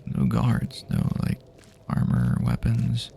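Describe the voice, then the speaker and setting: A man speaking softly and half-whispering in a halting, casual, rambling way, improvising an immersive ASMR roleplay as an apocalypse survivor. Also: very deep voice, huge pitch swings, slight background noise. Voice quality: breathy, half-whispered, gravelly. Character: weary friendly male apocalypse survivor guiding a companion.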